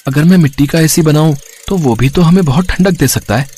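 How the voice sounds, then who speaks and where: A man voicing an earnest, hardworking poor village boy in an animated moral story, speaking plainly and reassuringly. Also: fairly high pitch, fairly quick, husky. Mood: hopeful.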